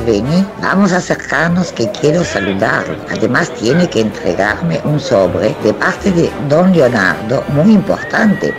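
A woman's voice: very deep voice, full character voice. An actress playing a jolly, chatty elderly Mother Superior in a radio soap opera, motherly and chuckling.

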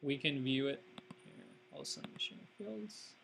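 A man walking through a software demo, hesitant and full of fillers. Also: mid-range voice, animated, unhurried, creaky, a little hiss or hum, rough recording.

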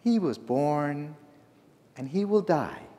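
A man doing a soft, patient old judge. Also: on the high side, lively intonation, slow pace. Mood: gentle. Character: patient, kindly older male judge. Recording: long church reverb.

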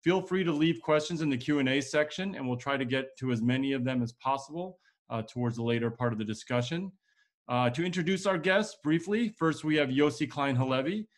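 A man's voice: medium pitch, brisk. A man in his thirties hosting a webinar on a video call, reading out guest introductions in a scripted, upbeat way. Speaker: host introducing panel. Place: home room with plants.

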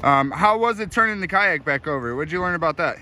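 Male vlog host, slightly high voice, casual questions and explanations, outdoors with clear wind and water noise. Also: expressive, brisk. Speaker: vlog host and interviewer behind camera. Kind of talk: casual interviewing. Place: outdoors on a lake in a kayak.

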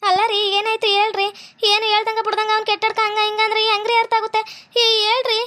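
A woman's voice: extremely high pitch, completely flat delivery, quick pace, pushed and bright. A woman playing a young village daughter, who pleads with her father and keeps repeating her questions. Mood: anxious pleading. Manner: pleading, repeats herself anxiously. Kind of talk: homemade family drama skit.

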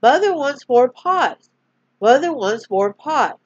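Eager, excited young boys, as a woman reader puts them on in a Bible storybook. The voice sits a little high. The recording is dry and lo-fi. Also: slow pace, dry recording, full character voice.

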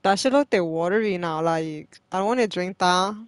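A woman's voice: lowish voice, moderate pace, clear, resonant tone, no echo. A woman from Puerto Rico with a New York accent, a streamer chatting casually with friends while gaming, brash, swearing and teasing.

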